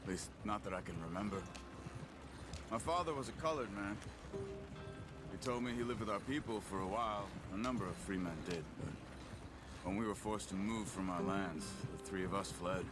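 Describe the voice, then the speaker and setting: A solemn young American outlaw played by a male actor in a cinematic game. His voice is on the low side and understated. Loud music and horse hooves run behind him. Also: moderate pace, close to an everyday voice. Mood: somber. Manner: reserved, plain-spoken. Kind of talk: understated video game acting. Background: loud music, horse hooves and clip-clop.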